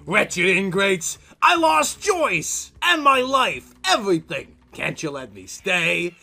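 A man's voice: sits high, sing-song, slow pace, vocal fry, pushed and bright, voice pushed up, full character voice. A man voicing a gloating, possessive walking corpse from a horror comic, theatrical and taunting. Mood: self-pitying.

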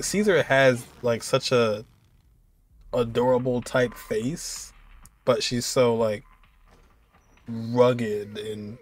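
A man giving casual reaction commentary from an indoor room. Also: medium pitch. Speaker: reaction video host.

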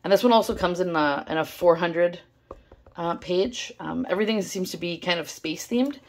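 A young woman, hoarse from losing her voice, chatting excitedly with lots of fillers while unboxing things at a table. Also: lowish voice, moderate pace, slight background noise. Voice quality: gravelly, strained. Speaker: stationery vlogger. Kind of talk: casual unboxing vlog. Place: indoors at a table, filming overhead. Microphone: camera held close over the table.

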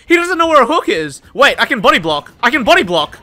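A young man commentating his own gameplay, excitable and swearing, shouting at the game and laughing, a bit worn out. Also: very high voice, fast, faint music behind. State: tired, says his voice is going. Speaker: gaming YouTuber.

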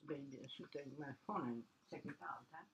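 A woman's voice: very deep voice, moderate pace. A woman, chatting casually at home as a companion to a reader. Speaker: off-camera listener and companion. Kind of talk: casual chat. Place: small home room, off camera. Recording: clearly noisy home recording with clicks.

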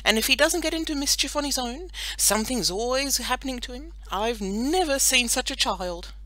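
A woman's voice: high-pitched, lively intonation, moderate pace, pushed and bright, no echo, pitched above the performer's own voice, poor audio. A woman voicing a simple, upset farm maidservant who complains and exclaims in a storybook reading. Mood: grumbling.

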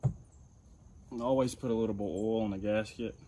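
A man's voice: fairly low pitch, steady tone, fairly quick, warm tone, slight background noise. A young man casually explaining, step by step, how he changes the oil on a mower while he works outdoors. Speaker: landscaper. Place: outdoors in a yard.

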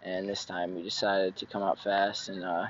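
A young man, a high school lacrosse player, speaking matter-of-factly with some hesitations in a post-game interview outdoors at night. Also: little pitch movement, normal speed, muffled recording, slight background noise. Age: young adult. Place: outdoor sports field at night. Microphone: handheld mic.

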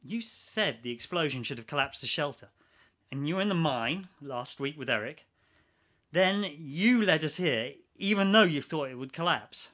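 A man's voice: a little high, expressive, moderate pace, fairly bright tone. A man acting in a radio play as an exasperated, sarcastic ex-army geologist. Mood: suspicious. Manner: sardonic, sweary, incredulous.

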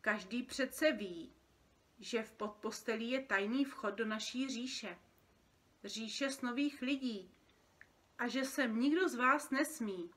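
Žena hrající nervózní opeřenou bytost s vyšším, jasným hlasem. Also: female voice, a little high, a bit bright, natural voice. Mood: alarmed. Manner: agitated, complaining, stammering when shocked. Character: nervous, overworked feathered dream-being, easily alarmed, plaintive. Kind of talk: storybook character reading.

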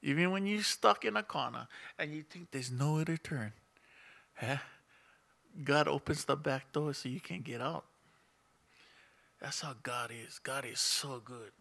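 Gravelly, bright Hawaiian-tinged pastor. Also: male voice, mid-range voice, a little slow, pauses often. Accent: Hawaiian Pidgin-tinged English. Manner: conversational preaching, asks the congregation questions. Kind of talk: sermon. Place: small church room with instruments. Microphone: stand mic in front of him, seated.

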